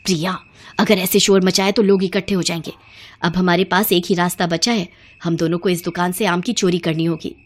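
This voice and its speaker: A woman's voice: lowish voice, steady tone, a little fast, lightly acted. A woman voicing an exasperated middle-aged mother-in-law, grumbling and long-suffering, in a cartoon story. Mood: conspiratorial.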